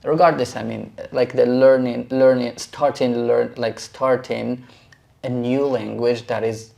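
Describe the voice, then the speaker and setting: Gravelly-voiced man asking halting questions. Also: mid-range voice, flat delivery. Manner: halting, repeats words, laughs warmly. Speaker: Arabic teacher and interviewer. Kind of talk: casual interview questions.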